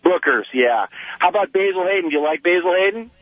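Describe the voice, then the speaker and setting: A man's voice: high-pitched, animated, quick pace, dry recording. An American man calling a radio show, chatting casually in a chummy, talkative way over a phone line. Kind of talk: casual chat.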